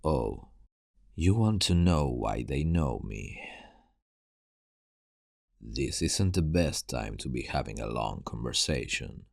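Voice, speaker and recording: A man acts out a world-weary survivor of a zombie apocalypse for an ASMR roleplay, guarded and terse. The voice is extremely deep and thick with gravel. It moves slowly but swings expressively, recorded dry and close. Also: sing-song, no room sound, exaggerated voice. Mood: reluctant, guarded. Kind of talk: ASMR roleplay acting, addressing the listener.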